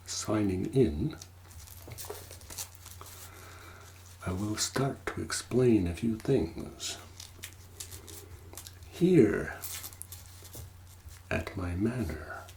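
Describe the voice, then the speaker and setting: A man playing a mysterious, courteous manor master and game host in a soft, partly whispered, formal ASMR roleplay voice. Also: on the low side, slight background noise, poor audio. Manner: slow, deliberate, formal, long pauses.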